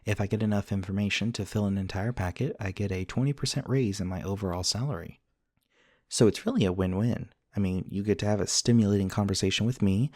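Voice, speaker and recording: A man's voice: lowish voice, a little mellow, no room sound, clean studio sound. A man playing an earnest, formal, slightly awkward research scientist in a one-sided ASMR roleplay, speaking politely in wordy, precise sentences.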